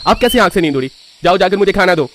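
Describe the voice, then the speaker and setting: Man voicing a high-pitched, frustrated young cartoon husband. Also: animated, quick pace, fairly bright tone, slight background noise, poor audio. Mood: annoyed. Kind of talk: acted cartoon story dialogue.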